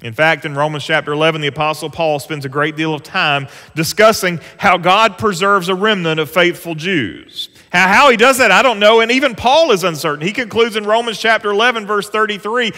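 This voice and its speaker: A man delivers a sermon in a church sanctuary, his voice bright and a little high for a man. He preaches earnestly and conversationally, leaning into the scripture he reads aloud. The sound rings with the long reverb of a large hall. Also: fairly quick, few pauses. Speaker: pastor.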